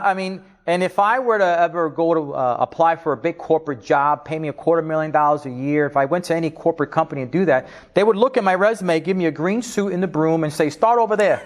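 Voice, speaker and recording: A middle-aged man from Spanish Harlem with a New York accent, giving an animated interview, laughing often and restarting his sentences. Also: a little high. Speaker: interview guest, business leader. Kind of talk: casual interview talk.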